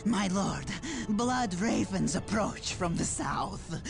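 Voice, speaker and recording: A performer playing a frightened, servile underling of a dark lord in a video game. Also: medium pitch, lively intonation, slow pace, vocal fry, music in the background, heavily acted. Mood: anxious. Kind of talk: theatrical video game acting.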